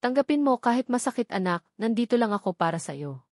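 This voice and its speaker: A woman's voice: a little high, sing-song, a little fast, darkish tone, no echo. Woman reader as caring mother, earnest and admonishing, audiobook drama, dry sound. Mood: consoling. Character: caring, gently admonishing older mother of a grown daughter. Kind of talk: dramatised novel reading.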